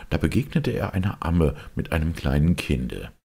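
A man narrating a fairy tale as a storyteller, expressive and theatrical. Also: extremely low pitch, moderate pace, vocal fry, slightly rough. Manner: theatrical, varied.

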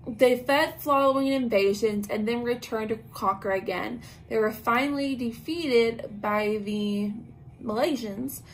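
A woman's voice: a little high, a little hiss or hum, low-quality recording. A young woman hosting a casual witchcraft talk, speaking informally to camera with frequent ums and slangy asides. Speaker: host of a witchcraft talk channel. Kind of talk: casual spoken lesson to camera. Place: small bedroom with tapestries. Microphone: camera at arm's length.

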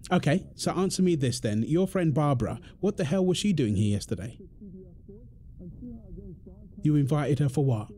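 Guy playing a fed up british husband in a roleplay argument, defensive and insistent, highish voice, bit of background noise. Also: lively intonation, normal speed, long pauses, warm tone, natural voice. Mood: frustrated, accusing. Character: exasperated, defensive husband and father quarrelling indignantly. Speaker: audio roleplay performer. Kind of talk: dramatic one-sided argument roleplay.

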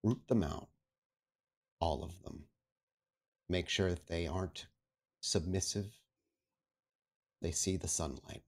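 A man playing a stern, calculating vampire prince in a tabletop game, speaking formally and deliberately. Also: deep voice, heavily acted. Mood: cold, commanding. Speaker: storyteller playing a character.

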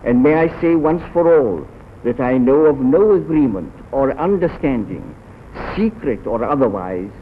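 A middle-aged man reading a formal diplomatic statement in a measured, deliberate way. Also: mid-range voice, expressive. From Iran. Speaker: diplomat, ambassador. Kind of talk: formal prepared statement read aloud. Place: large council chamber. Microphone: table microphone. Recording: tinny, noisy 1940s newsreel archive.

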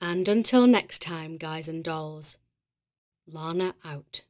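A woman's voice: low-pitched, takes it slowly, darkish tone. A woman playing a sardonic podcast host, teasing and informal, presenting supernatural mysteries in horror fiction. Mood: wry, intrigued.

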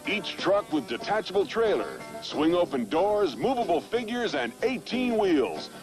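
High, animated American toy-commercial announcer, male. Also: measured pace. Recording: vintage TV ad with music behind.

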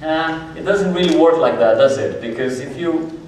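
A man's voice: fairly high pitch, moderate pace, a little mellow, far from the mic, a little hiss or hum. Man speaking in a big echoey hall. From Brazil. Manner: friendly, conversational, gestures a lot. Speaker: conference speaker. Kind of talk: conference presentation. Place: stage of a large conference hall.

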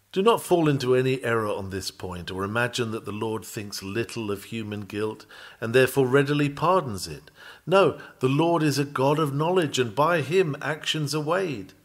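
A man reads a scripted sermon in a measured, deliberate manner, with formal oratory. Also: medium pitch. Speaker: reader of a historical sermon.

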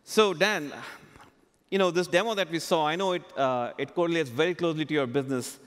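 A man in his forties with a slightly high voice hosts a keynote interview on a big stage. He wears a headset mic, and the large hall adds a long reverb to his voice. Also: a little fast, fairly bright tone, clear, resonant tone. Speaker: keynote host interviewing a customer. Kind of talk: conference stage presentation and interview. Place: large conference stage, indoors.

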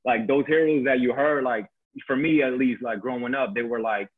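A man's voice: medium pitch, steady tone, moderate pace. Queens man with a New York accent. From born in Korea, raised in Queens. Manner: laid-back, heavy fillers like "you know". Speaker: contest finalist guest. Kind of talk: casual video call chat. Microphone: video call. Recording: tinny phone-like line.

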